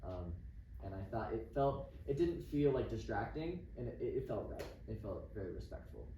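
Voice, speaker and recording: A man's voice: on the low side, lively intonation, normal speed, warm tone, dull audio. A man in an audience giving casual spoken feedback. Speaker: audience member. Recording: echoey room, distant mic, background noise.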